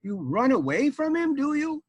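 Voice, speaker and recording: A man's voice: extremely high pitch, very animated, moderate pace, dry recording, pitched above the performer's own voice. A man voicing an earnest, chivalrous storybook knight with courtly, exclaiming speech. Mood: reassuring, questioning. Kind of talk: live storytelling for an audience.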